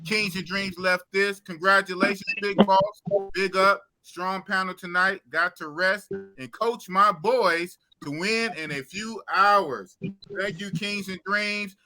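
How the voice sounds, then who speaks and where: A man in his fifties hosting a podcast, reading out viewer shout-outs with hype. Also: high voice, slow, faint music behind, rough recording. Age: 50s. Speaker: podcast host. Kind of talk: casual podcast chat.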